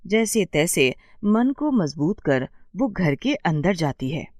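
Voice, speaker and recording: Female storyteller for scripted bedtime tales: lively, varied intonation, dry close recording. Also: on the low side, slow pace, dry recording.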